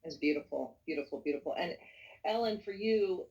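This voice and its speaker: A woman's voice: fairly low pitch, animated, a little fast, muffled recording. Moderator woman, distant video-call voice. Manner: warm affirming listener, frequent mm-hmm. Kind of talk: moderating a panel Q&A.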